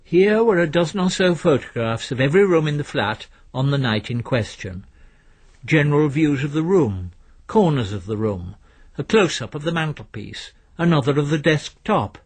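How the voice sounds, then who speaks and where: A man's voice: on the high side, expressive, moderate pace, husky. A man giving scripted narration of a story as its narrator.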